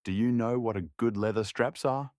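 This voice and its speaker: A man's voice: medium pitch, normal speed, a little mellow, no echo, clean studio sound, natural voice. A man playing a sad, stern farmer and village master in a story, commanding and questioning. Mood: stern, angry.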